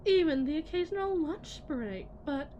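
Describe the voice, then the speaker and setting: A woman playing a cold, condescending lead technician in a scripted ASMR roleplay, speaking in a measured way that drifts into whispers. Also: high voice, animated, warm tone, lo-fi sound, a little hiss or hum. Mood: stern, condescending. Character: cold, condescending female lead technician at shady tech company.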